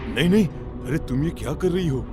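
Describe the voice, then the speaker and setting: Young everyman driver, played by a man: earnest, hesitant, uneasy, lively intonation, horror story with music bed. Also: fairly high pitch, a little fast, lightly acted. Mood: frightened. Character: helpful young man driver, increasingly bewildered and nervous. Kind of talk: audio horror story acting.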